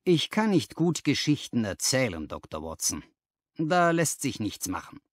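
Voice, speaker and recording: Man reading as rueful young clerk, lively pitch. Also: a little slow, no echo, clean studio sound. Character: young male London clerk, frank, rueful, plain-spoken.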